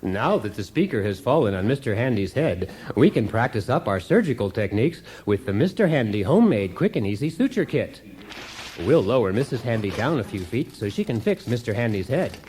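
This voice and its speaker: An actor playing a relentlessly cheerful, unflappable DIY show host in a radio comedy, with chirpy instructor patter. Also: male voice, mid-range voice, big pitch swings, fairly quick, darkish tone, slight background noise, natural voice. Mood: chipper, unflappable.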